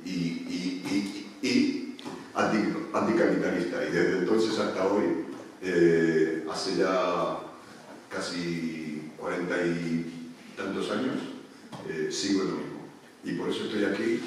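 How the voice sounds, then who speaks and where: A man's voice: deep voice, steady tone, slow pace, a little hiss or hum. A man, giving a hesitant public talk full of fillers at a union meeting. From Argentina. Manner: hesitant, many restarts and fillers. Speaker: panel speaker. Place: meeting room at a union headquarters. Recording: echoey room, speaker far from mic.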